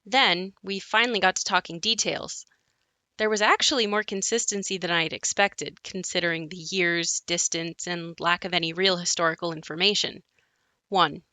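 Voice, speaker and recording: A woman playing an adult narrator who looks back on her haunted childhood home in a scripted audio play, reflective and measured. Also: fairly low pitch, steady tone, pushed and bright, dry recording. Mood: wry, reflective.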